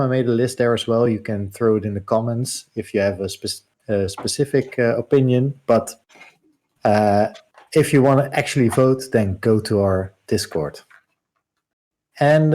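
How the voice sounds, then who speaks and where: Man, stream co-host, rambling plugs, lots of uh, middle pitch. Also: darkish tone. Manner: rambling, many "uh" fillers. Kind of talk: casual livestream chat.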